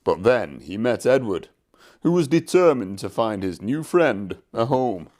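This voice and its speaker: A man narrating a children's storybook from a script in an expressive storytelling manner. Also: medium pitch, measured pace.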